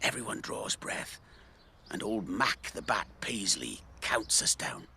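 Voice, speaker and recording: An actor playing a warm, nostalgic older British man telling stories in a chatty, wistful way in a naturalistic radio drama. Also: on the low side, big pitch swings, unhurried, vocal fry, very noisy, full character voice. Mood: excited reminiscing. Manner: chatty, chuckling, wistful reminiscing.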